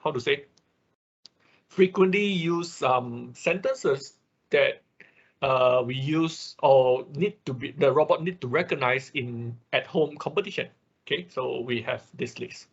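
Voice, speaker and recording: A man who speaks English as a second language, giving a live technical tutorial step by step in a halting manner, often checking with short tag words. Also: mid-range voice, husky. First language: not English. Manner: halting, often repeats and checks with okay or right. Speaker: workshop instructor. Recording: dull, lo-fi online class audio.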